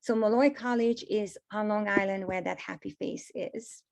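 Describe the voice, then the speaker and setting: A woman's voice: medium pitch, fairly even intonation, normal speed, creaky, a little mellow. A woman in her fifties from Hungary, with a Hungarian accent, giving a gracious, emphatic webinar presentation over a video call.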